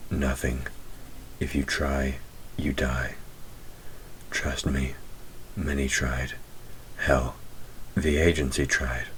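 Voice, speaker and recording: A man playing a wry demon hunter who is himself a demon, teasing yet protective, speaking intimately and with measured sarcasm in an audio roleplay. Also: rumbling low, a little hiss or hum. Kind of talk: scripted audio roleplay spoken to the listener.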